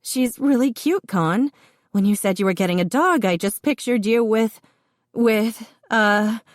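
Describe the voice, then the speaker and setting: A heavily pregnant, blunt older sister who ribs her brother in bright, exclamatory bursts, pitched well above the narrator's own low voice, as a woman puts her on. The sound is close and dry, with no room around it. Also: unhurried, dry recording, lightly acted. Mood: teasing. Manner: teasing, exclamatory.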